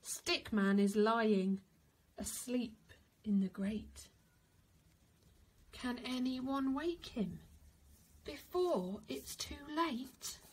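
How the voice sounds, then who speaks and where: A woman narrates a children's storybook in a high, expressive voice. She takes it very slowly and deliberately, phrase by dramatic phrase. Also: husky, poor audio. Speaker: teacher reading a picture book aloud.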